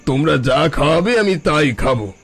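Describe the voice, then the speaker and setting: A man's voice: a little high, lively intonation, a little fast. A man voicing a sly, wheedling fake holy man in a cartoon folktale. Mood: ingratiating. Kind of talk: Bengali cartoon folktale acting. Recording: music bed behind the voice.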